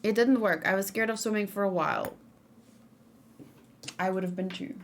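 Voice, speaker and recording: A woman streaming live, chatting casually and thinking aloud as she reacts and answers her chat, with plenty of pauses and restarts. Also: mid-range voice.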